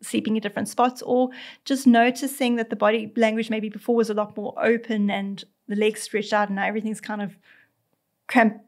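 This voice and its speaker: A South African woman veterinarian talking through cat behaviour as a podcast guest. Her voice sits a little high for a woman, and she often slips into speaking as the cat in first person. The talk is loose and conversational, with restarts and fillers. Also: flat delivery, brisk. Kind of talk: conversational expert explanation.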